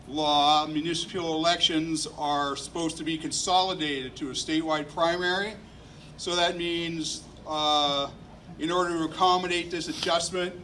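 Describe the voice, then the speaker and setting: A man's voice: on the high side, a bit bright. A man making announcements at a neighborhood forum, talking plainly and steadily with some fillers, in a community meeting room. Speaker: neighborhood liaison introducing a candidate forum. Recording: distant room recording, faint noise.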